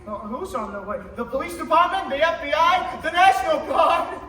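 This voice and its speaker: Young man playing an excitable, high-voiced family man. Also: moderate pace, a little hiss or hum, heavily acted. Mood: giddy, relieved. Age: young adult. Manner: exclamatory, stammering. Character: overjoyed, excitable family man, giddy with relief. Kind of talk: radio play acting in a high school production. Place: school theatre stage. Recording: echoey theatre stage, distant microphone.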